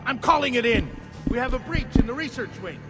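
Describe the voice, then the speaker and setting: A man's voice: extremely high pitch, lively intonation, fairly quick. An actor playing an alarmed male security guard in a video game, urgent and barking. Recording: game audio under music. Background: game soundtrack music.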